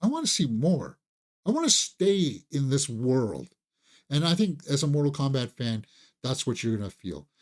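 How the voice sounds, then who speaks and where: A man's voice: mid-range voice, very animated, moderate pace. A man hosting a casual, conversational film review, enthusiastic and opinionated, sometimes repeating words as he thinks aloud.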